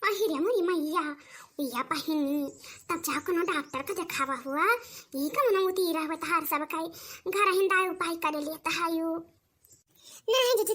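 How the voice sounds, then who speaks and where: An excitable rural woman from a cartoon comedy, speaking in an Awadhi accent. The voice is tiny, childlike and extremely high, with a sharp, piercing edge. The delivery is pleading, complaining and exaggerated. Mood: exasperated. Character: excitable village woman begging her sister-in-law for remedies. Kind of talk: comic cartoon dialogue in rural dialect.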